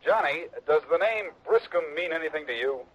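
A man's voice: fairly high pitch, expressive, fairly quick. An actor playing an eager, chummy young insurance agent in his twenties who turns evasive, in a 1960s radio drama. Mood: friendly. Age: 20s. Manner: chummy, then evasive and hesitant. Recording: dull old radio broadcast.

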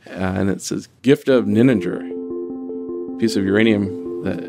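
Male interviewee, gravelly and fairly low, hesitant storyteller, clear music behind him. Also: unhurried. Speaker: physicist, interviewee. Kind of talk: recounting an anecdote in an interview.

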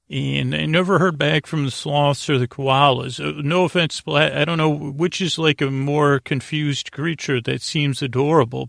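A man hosting a bedtime podcast in a rambling, meandering monologue, often circling back and losing his thread, with a creaky voice. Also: a little high, fairly bright tone, no echo, studio quality. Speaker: podcast host.

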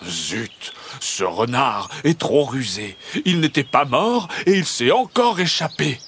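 A man's voice: on the high side, very animated, takes it slowly, a bit bright, slight background noise, voice pushed up, heavily acted. A man playing an easily vexed, grumbling peasant husband in a children's audio storybook.

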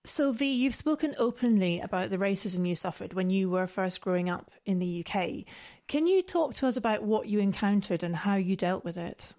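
A woman hosting an interview podcast, asking gentle, probing questions. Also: medium pitch, animated, moderate pace. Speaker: podcast host.

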